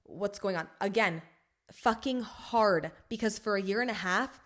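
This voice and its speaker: A young adult woman hosting her own podcast, talking in a frank, rambling way full of swearing and filler words, with emphasis that swings between loud and soft. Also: medium pitch, a little slow. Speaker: podcast host. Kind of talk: casual solo podcast monologue.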